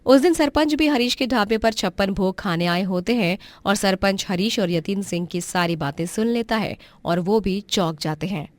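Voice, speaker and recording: A woman narrates a scripted story as storyteller. Her voice sits at a medium pitch, and the recording is very dry. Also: lively intonation, moderate pace, hardly any pauses, no room sound.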